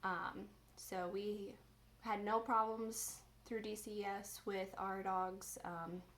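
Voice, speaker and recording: A woman in her twenties from the US Midwest, chatting casually on her vlog and filling her pauses with ums. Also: medium pitch, fairly even intonation, fairly bright tone. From Indiana, USA. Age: 20s. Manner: chatty, many ums and restarts. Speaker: vlogger. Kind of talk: casual chat.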